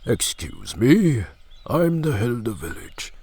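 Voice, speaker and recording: A man voicing a dignified, fair-minded village head in a cartoon fandub, formal, measured and courteous. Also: mid-range voice, big pitch swings, darkish tone, slightly rough, dry recording, full character voice. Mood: earnest, pleading. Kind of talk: cartoon comic fandub acting.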